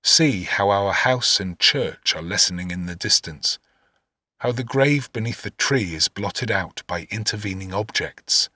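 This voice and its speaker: A man narrating a classic novel in the first person, in an expressive literary reading style. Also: fairly low pitch, unhurried, no echo. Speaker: audiobook narrator.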